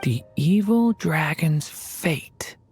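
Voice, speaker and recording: Gentle worried dragon boy in a game, low voice, earnest and hesitant. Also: animated, extremely slow, husky, soft background music, full character voice. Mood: worried, thoughtful. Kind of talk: video game character dialogue.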